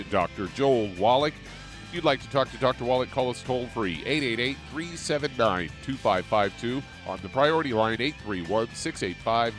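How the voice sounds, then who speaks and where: A man reading a scripted radio station announcement in a clear, measured way. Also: medium pitch. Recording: dry studio read with faint music.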